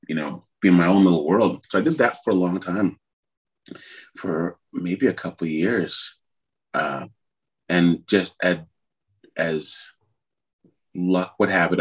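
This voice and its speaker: A man's voice: low-pitched, fairly bright tone, rough recording. A middle-aged man from Trinidad, a musician guest telling his story in a rambling, hesitant way with many fillers. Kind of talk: conversational interview.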